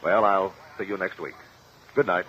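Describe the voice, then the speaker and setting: An actor playing a shrewd, confident courtroom investigator in a 1950s radio drama, speaking formally and precisely. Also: male voice, low-pitched, normal speed, natural voice. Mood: cordial. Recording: muffled, noisy old radio recording.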